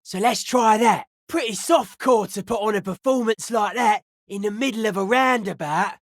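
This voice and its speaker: An actor voicing a young, earnest, easily spooked counterculture club kid in a video game, with slangy, deadpan drawl. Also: male voice, sits high, normal speed, no echo, clean studio sound. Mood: earnest, urgent.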